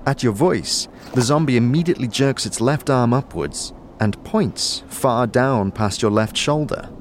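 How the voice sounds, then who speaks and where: A man reading scripted audio drama narration in a measured, descriptive storytelling way. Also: medium pitch, slight background noise.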